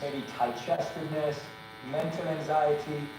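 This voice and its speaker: A man's voice: a little high, steady tone, unhurried, background noise. A man hosting a conversational interview in an earnest, agreeable manner. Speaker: interviewer, podcast host who coaches clients. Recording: echoey room, distant mic, electric buzzing behind.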